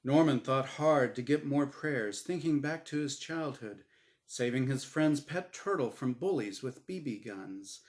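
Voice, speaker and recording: A man reading his own story aloud as a dramatic, humorous narration, deliberate and expressive. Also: on the high side.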